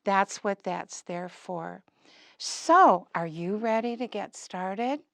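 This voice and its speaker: A woman's voice: medium pitch, slow, vocal fry, fairly bright tone, no echo, clean studio sound. A woman puppeteer presenting to young children, using simple, emphatic phrases and lots of questions.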